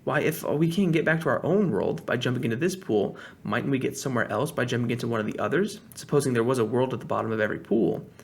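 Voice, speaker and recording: A man's voice: mid-range voice, quick pace, creaky, few pauses, close to an everyday voice. A man reading aloud, voicing an eager, adventurous young boy with a raised, boyish lilt for a storybook.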